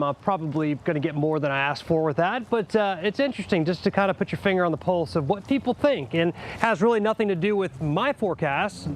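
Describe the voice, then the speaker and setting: A man delivers the weather on air in a high, bright voice. He talks in a loose, chatty way with fillers, and faint street noise hums behind him. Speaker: TV meteorologist. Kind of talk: live weather forecast.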